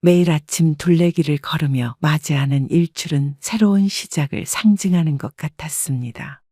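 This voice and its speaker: A woman in her sixties narrating her own story in the first person, calm and reflective. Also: low voice, moderate pace, no echo, studio quality.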